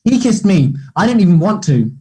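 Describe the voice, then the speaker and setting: Anxious young man, husky and soft-toned an actor reading. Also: high voice, very animated, exaggerated voice. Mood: defensive, agitated. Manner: hesitant, halting delivery. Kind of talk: play table read.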